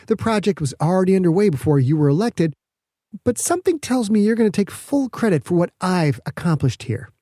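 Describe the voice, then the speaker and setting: Brash, defensive female scientist, played by a male narrator, raised pitch, snappish delivery, mellow tone, audiobook. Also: lively intonation, fairly quick, dry recording, studio quality. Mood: accusing. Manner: curt, indignant, snapping.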